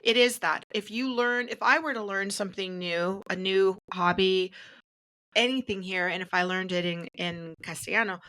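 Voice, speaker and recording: A woman hosting a podcast, talking casually and explaining things in a measured, instructive way. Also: mid-range voice, sharp tone. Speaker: podcast host.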